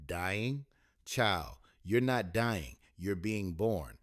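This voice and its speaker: A soothing, loving mother, middle-aged and gently wise, with folksy turns of phrase, read by a man. Her words come tender and unhurried. The sound is dry and close, like a home audiobook recording. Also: low voice, lively intonation, dry recording, full character voice.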